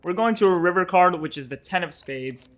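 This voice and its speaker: Bright-voiced young man narrating poker hands. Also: high voice, lively intonation, brisk. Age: 20s. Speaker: poker vlogger and host. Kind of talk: casual scripted voice-over commentary on poker hands.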